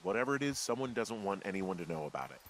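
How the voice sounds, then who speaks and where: A man's voice: on the low side, very animated, fast talker, noisy background. An actor playing a sarcastic, suspicious everyman fire lookout in a video game, with an American accent and a plainspoken, naturalistic delivery. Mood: frustrated. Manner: sardonic, plainspoken.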